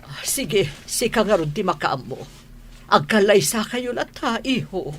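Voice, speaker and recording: A woman's voice: medium pitch, expressive, measured pace. A woman playing a kindly, affectionate mother in a radio drama, with an emotive delivery. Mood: accepting, emotional. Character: kindly, approving mother welcoming her daughter-in-law. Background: faint music.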